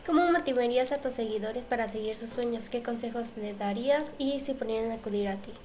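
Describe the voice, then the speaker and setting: A woman's voice: fairly high pitch, a little fast, darkish tone. A woman hosting an interview show, reading out audience questions and presenting to viewers. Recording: dull, echoey room with faint noise.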